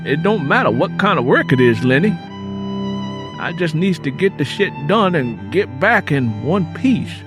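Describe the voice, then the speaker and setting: A man's voice: on the high side, very animated, measured pace, over music, pitched above the performer's own voice. A man voicing a worried, worn-out poor farm labourer with folksy rural speech in an audiobook story. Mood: weary, resigned. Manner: folksy rural vernacular, hesitant and plaintive. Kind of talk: audiobook character dialogue.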